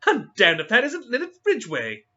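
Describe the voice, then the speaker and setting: A man's voice: very high voice, huge pitch swings, normal speed, fairly bright tone, lo-fi sound, pitched above the performer's own voice, full character voice. A man voicing a cheerful, disparaging young man. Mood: breezy. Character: breezy, disparaging young Englishman.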